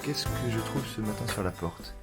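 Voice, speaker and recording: A man's voice: on the low side, expressive, moderate pace, creaky. A man speaking casually as a travel vlogger, chatting and narrating his day. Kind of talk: casual chat and vlog narration. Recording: music behind the voice.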